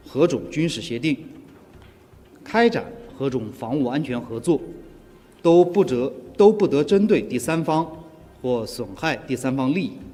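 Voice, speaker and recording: Man from China, official spokesperson, press statement, deliberate, medium pitch, reverberant room, background music. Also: dark tone. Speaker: government spokesperson. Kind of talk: formal press conference statement. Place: indoor press briefing room.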